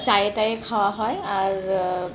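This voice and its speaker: A woman's voice: medium pitch, fairly even intonation, normal speed, background noise. A woman narrating a casual daily household vlog as a homemaker, chatting to her viewers. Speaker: homemaker vlogger.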